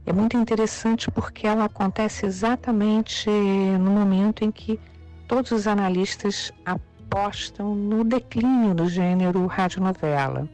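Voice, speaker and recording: An interviewed expert explaining in a thoughtful way, pausing often with fillers. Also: medium pitch, darkish tone, faint music behind, rough recording.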